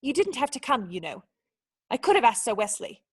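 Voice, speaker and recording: A woman's voice: fairly high pitch, sing-song, a little fast, husky. A woman playing a proud, defensive young noblewoman with formal, earnest speech in a radio play. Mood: stubborn.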